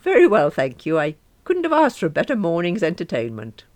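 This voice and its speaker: A woman doing a smooth, teasing male client. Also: wildly swooping pitch, brisk, darkish tone, no room sound. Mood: dry. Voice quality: smooth, deep voice. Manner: dry, teasing. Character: calm, wry middle-aged man client.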